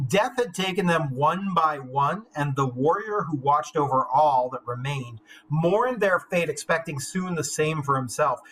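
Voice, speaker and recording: A man's voice: fairly high pitch, expressive, unhurried, hardly any pauses, a bit bright, rough recording. A man teaching English literature, moving between reading aloud and informal, wry commentary.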